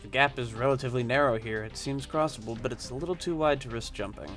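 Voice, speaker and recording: A man's voice: medium pitch, fast talker, a bit bright, soft background music. A young man playing a video game, reading its text aloud and chatting loosely with many fillers and restarts. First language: not Japanese. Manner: rambling, hesitant, many fillers. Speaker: let's play gamer.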